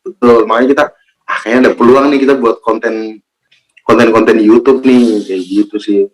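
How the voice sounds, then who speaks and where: A young man from Central Java, a content creator chatting casually as an interview guest, relaxed and full of fillers. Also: medium pitch, brisk, rough recording. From Purwokerto area, Central Java. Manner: relaxed, chatty, many fillers and repeated agreement.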